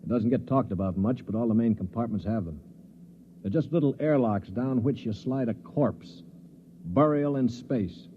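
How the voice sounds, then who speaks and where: An actor playing a cynical, sneering survivor with a taunting, mock-courteous manner in 1950s radio drama style. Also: male voice, mid-range voice, moderate pace, close to an everyday voice. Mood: wry. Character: cynical sneering man bent on staying alive. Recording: muffled, noisy 1950s radio recording.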